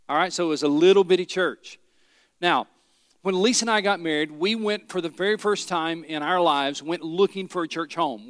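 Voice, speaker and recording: Folksy pastor preaching. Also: male voice, on the high side, fairly bright tone, dry recording, in a large hall. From Tennessee. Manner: folksy storytelling with rhetorical questions. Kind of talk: sermon. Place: church sanctuary.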